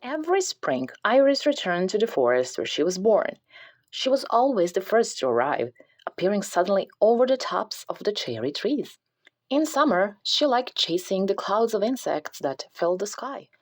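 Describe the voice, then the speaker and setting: A woman's voice: medium pitch, very animated, moderate pace. A woman narrating a children's picture book as a scripted read-aloud. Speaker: storybook reader.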